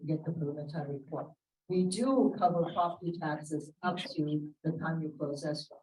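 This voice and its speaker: A woman's voice: deep voice, normal speed, a little mellow, a little hiss or hum. A woman answering questions on a panel as a title officer, direct and emphatic. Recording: echoey room, distant mic.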